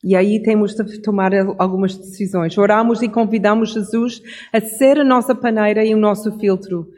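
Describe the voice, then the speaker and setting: Middle-aged preacher type, calm reflective pace, fixes own words now and then. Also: mid-range voice. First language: English. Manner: reflective, measured, with small self-corrections. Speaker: devotional speaker. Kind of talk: Bible devotional message.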